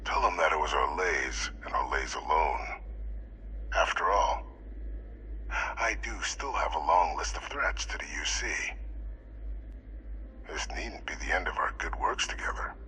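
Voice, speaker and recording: An actor playing a smooth, scheming older male villain in a video game, speaking formally and persuasively with breathy phrase endings. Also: very deep voice, expressive, measured pace, long pauses, pushed and bright, a little hiss or hum, full character voice. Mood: calm, persuasive. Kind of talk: video game character dialogue.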